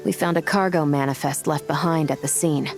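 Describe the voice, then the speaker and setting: Low firm woman detective type from a game, measured, no-nonsense, soft music under. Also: fairly even intonation. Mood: focused, analytical. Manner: measured, firm, matter-of-fact. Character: composed adult female investigator, stern, evidence-minded. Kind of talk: video game voice acting.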